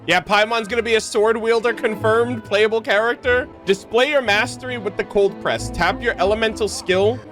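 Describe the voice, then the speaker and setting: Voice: medium pitch, steady tone, moderate pace, hardly any pauses, a bit bright. A streamer giving casual, playful live commentary on a video game in slangy, sweary language. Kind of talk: casual livestream commentary with joking. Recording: faint game music behind the voice. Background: video game music.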